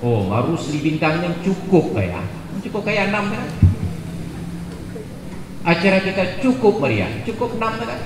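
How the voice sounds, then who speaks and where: A man in his forties giving a jokey, animated sermon at a gathering, building to loud emphatic peaks. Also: high voice, unhurried, pauses often. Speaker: preacher. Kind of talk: humorous sermon at a religious gathering. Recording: echoey hall with background noise.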